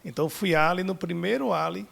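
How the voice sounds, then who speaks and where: A man's voice: high-pitched, a little fast, creaky, fairly bright tone. Man from Salvador, Bahian accent, friendly, casual interview. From Salvador, Brazil. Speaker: guest, business analyst.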